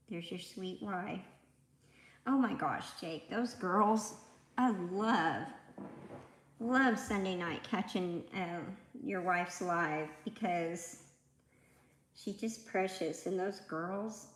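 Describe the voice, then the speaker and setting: A soft-spoken woman in her fifties with a slightly raspy voice chats unhurriedly with viewers on her livestream. She takes her time and wanders from topic to topic. The sound comes through a tablet mic in an echoey room. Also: lowish voice. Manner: chatty and rambling, greeting viewers by name. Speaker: livestream host. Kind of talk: casual livestream chat with viewers. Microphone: tablet microphone.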